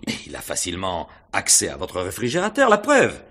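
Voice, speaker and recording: Radio mystery role: a methodical inspector, low husky voice, composed and measured. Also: male voice, wildly swooping pitch, fairly bright tone, heavily acted. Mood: methodical, certain. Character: deductive police inspector, calm and methodical. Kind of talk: French radio mystery drama acting.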